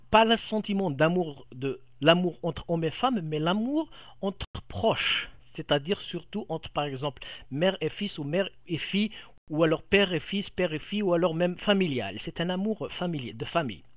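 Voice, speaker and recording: Halting Kabyle-accented man explaining. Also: a little high, no room sound, clean studio sound. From Algeria, Kabyle region. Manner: halting, repeats words and restarts often. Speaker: vlogger. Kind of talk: explaining to a viewer from a home camera. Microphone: camera microphone.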